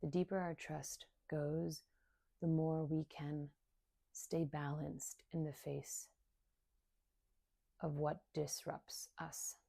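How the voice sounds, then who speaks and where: A woman leading a guided meditation in a soft, soothing, unhurried way, leaving long pauses between short phrases. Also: sits low, level tone, gravelly, dry recording. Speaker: meditation guide and somatic coach.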